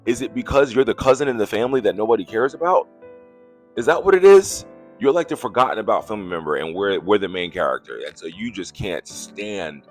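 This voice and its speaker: A man's voice: on the low side, expressive, brisk, faint music behind. A man from the United States ranting in a mocking, exasperated tone about online comments. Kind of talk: casual reaction rant.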